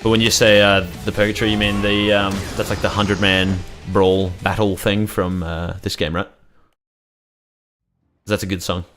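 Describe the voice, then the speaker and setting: Male gaming commentator: lowish pitch, casual, profane, rambling delivery with music behind. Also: little pitch movement, moderate pace, a bit bright. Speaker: gaming video creator.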